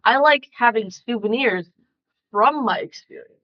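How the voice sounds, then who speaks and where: A woman's voice: on the high side, measured pace, highs cut off. A woman reading out questions and chatting casually on a podcast. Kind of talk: casual question-and-answer chat.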